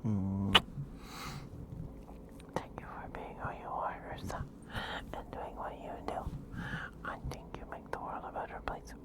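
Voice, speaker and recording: A man's voice: low-pitched, completely flat delivery, a little slow, long pauses. A man livestreaming ASMR, chatting casually and cooing affectionately to viewers, often dropping into a whisper close to the mic.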